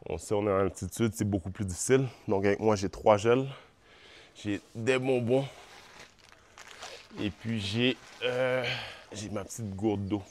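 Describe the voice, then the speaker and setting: A man's voice: on the low side, unhurried. A man with a Quebec French accent vlogging to his phone while running outdoors, sick and out of breath. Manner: talking to the camera mid-run, with English slang mixed in. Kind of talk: casual running vlog. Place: outdoors on a road. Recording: loud outdoor noise.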